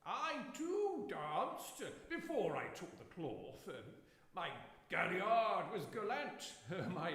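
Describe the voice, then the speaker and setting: Pompous jolly cleric: extremely high pitch, swooping declamation, radio drama in a reverberant hall. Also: male voice, sing-song, slow, fairly bright tone, full character voice. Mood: jovial, pompous. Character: jolly, pompous clergyman giving a rambling speech. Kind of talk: live radio drama acting. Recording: echoey hall with long reverb.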